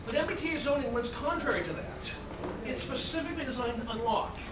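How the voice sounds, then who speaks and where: A man explaining zoning law at a town forum in a loud, lecturing, skeptical way. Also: very high-pitched, animated, fast talker. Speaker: attorney. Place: meeting room. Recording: echoey room, far from mic, noisy.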